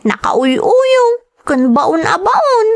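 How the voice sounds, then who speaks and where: A woman's voice: extremely high pitch, sing-song, very slow, heavily acted. A woman playing a dutiful but weary young girl who complains, in a family audio drama. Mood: aggrieved, weary. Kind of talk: dramatized radio-style family drama acting.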